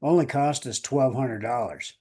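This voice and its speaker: A middle-aged American man with a medium-pitched voice shares anecdotes in casual conversation. He sounds like someone talking off the cuff, easygoing in his wording. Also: moderate pace, dark tone, husky. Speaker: musician.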